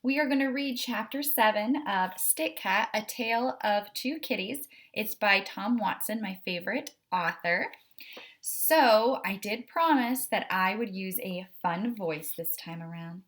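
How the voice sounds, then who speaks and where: A woman's voice: mid-range voice, measured pace, fairly bright tone. A woman hosting a read-aloud, narrating a children's storybook expressively and addressing young listeners directly.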